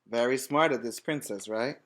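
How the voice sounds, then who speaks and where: A man's voice: mid-range voice, normal speed, a bit bright. A man reading a storybook aloud as a preschool teacher, with simple, instructive asides to the children.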